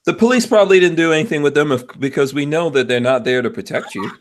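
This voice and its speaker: A man's voice: a little high, fast talker, a little hiss or hum. Laid-back man, podcast host. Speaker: podcast host reading listener comments. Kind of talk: casual chat.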